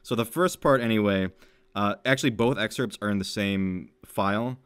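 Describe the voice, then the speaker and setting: A man's voice: on the low side, normal speed, a bit bright, clean tone, dry recording. A man chatting casually as a podcast host and reading aloud, explaining things in a hedging, self-deprecating way. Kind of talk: casual chat and reading old fan fiction aloud.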